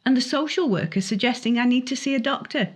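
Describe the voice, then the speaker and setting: A lonely teenage girl in a young adult story, voiced by a woman reader. She is anxious and plaintive, hesitating and stammering as she questions herself, with a slightly husky edge to the voice. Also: fairly high pitch, fast talker, pitched above the performer's own voice. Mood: lonely, aggrieved.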